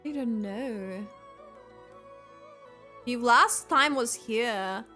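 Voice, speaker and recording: A woman's voice: on the high side, extremely slow, bright, forceful tone, soft background music. A woman streaming a video game, giving casual reaction commentary in a rambling way, often trailing off mid-thought.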